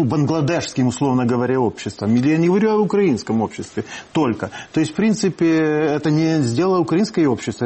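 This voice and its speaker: Mellow-voiced man with a Ukrainian accent. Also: fairly high pitch, slightly rough. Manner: thoughtful, explanatory, repeats words while reasoning. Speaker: political scientist guest. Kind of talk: studio discussion. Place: TV studio.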